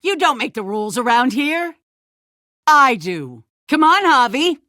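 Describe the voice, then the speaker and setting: Ruthless, slow-speaking town leader. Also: female voice, sits high, sing-song, bright, forceful tone, no room sound, clean studio sound, heavily acted. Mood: taunting, commanding. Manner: measured, condescending, controlled menace. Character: calculating, ruthless female leader of a survivor town. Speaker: video game character voice. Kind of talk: dramatic video game acting.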